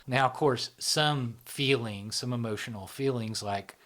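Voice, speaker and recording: An average-pitched middle-aged man talks in a loose, rambling way. He keeps checking in with his listener as he explains. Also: a little slow, fairly bright tone. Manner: rambling, fills with "right?" and "you know". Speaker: show founder and host. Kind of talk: casual explanatory conversation.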